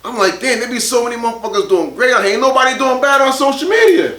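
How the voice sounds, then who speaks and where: A man in his mid thirties in casual podcast chat, talking quickly, repeating himself and checking that he's understood. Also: very high voice, animated, sharp tone. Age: 30s. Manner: rapid, repeats himself, keeps asking "you know what I'm saying". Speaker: podcast co-host. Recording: echoey room with faint noise.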